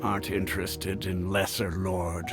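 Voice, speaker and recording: An actor playing a genial, worldly retired mercenary advisor in a video game, hearty and matter-of-fact. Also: male voice, sits low, flat delivery, a little slow. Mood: frank, genial. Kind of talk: video game cutscene acting. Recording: background music under voice.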